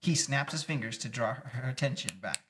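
Young man, script narrator, medium pitch, casual read-aloud, indoors. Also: fairly quick, noisy background. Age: young adult. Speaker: guest reader of scene descriptions. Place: small indoor room, seated at table.

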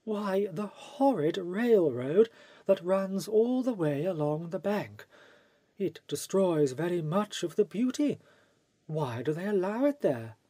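A man's voice: high-pitched, animated, slow, warm tone, no room sound, voice pushed up, exaggerated voice. A man voicing a vivacious, gushing society actress in a theatrical manner, reading from an audiobook. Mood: dismayed.

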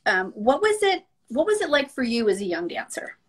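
A woman's voice: a little high, sing-song, normal speed, slightly rough. A middle-aged woman hosting a live video call interview, talking in an encouraging way with many fillers and restarts, on a webcam at home. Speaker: interview host. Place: home room, indoors.

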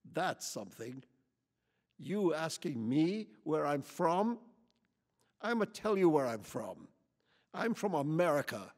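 A man's voice: on the high side, expressive, a little slow, long reverb tail. A man reading aloud and voicing a hostile, accusing young American woman who confronts strangers at a campground, with drawling slang.

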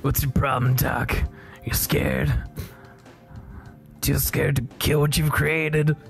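A man playing a video game and riffing with a co-host, improvising silly gravelly character voices. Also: mid-range voice, little pitch movement, slow, soft background music. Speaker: game player. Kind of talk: comedic let's play banter.

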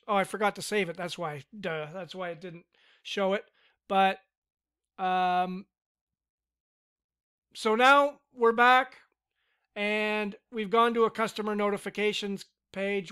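A man giving a relaxed, step-by-step screen-recorded software tutorial with casual fillers. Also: high-pitched, clear, resonant tone. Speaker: tutorial instructor.

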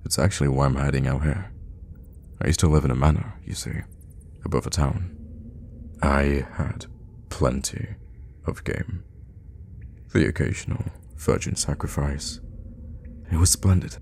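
A man acts out a menacing vampire lord in an intimate ASMR audio roleplay. His voice is extremely low and thick with gravelly fry. He is suave, theatrical and cruelly teasing, over clear background ambience. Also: fairly even intonation, darkish tone, a little hiss or hum, exaggerated voice. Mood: wry, wistful. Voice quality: very deep, gravelly. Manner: unhurried, theatrical, with drops into whispers.

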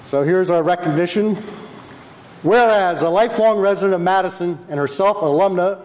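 A man in his sixties, a mayor, reading a proclamation at a council meeting, a bit halting and correcting himself, picked up by a distant camera. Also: high-pitched, darkish tone, slight background noise. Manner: halting, self-correcting, chuckles at his own slips. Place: large formal council chamber, indoors. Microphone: handheld mic, then unamplified, camera across the room. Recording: echoey hall, distant camera.

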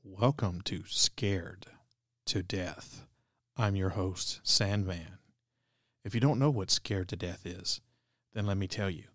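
Male podcast host, lowish voice, scripted presenting, very little room sound. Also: takes it slowly, fairly bright tone, no room sound, clean studio sound. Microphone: close microphone, dry recording.

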